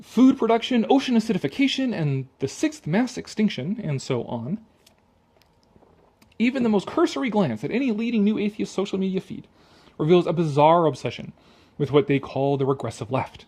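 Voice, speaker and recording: A man's voice: high voice, sing-song, normal speed, a little mellow. A man giving a sarcastic, exasperated off-the-cuff commentary, emphatic and freely swearing, a little tipsy. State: tipsy from drinking. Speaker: video commentator.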